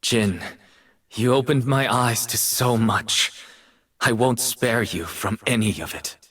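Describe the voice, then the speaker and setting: A man voicing a troubled, solemn artist in a video game, speaking in a measured, poetic manner with dramatic delivery. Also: medium pitch, a bit bright, slightly rough. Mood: solemn, intense. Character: troubled male artist champion, solemn and brooding.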